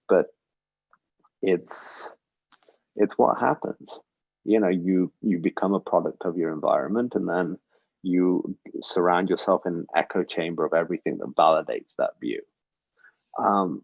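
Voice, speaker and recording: A man's voice: low voice, vocal fry, husky, no echo, lo-fi sound. A man in his forties giving an earnest, reflective personal talk, with frequent ums and uhs. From Britain. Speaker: guest speaker. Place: synagogue livestream.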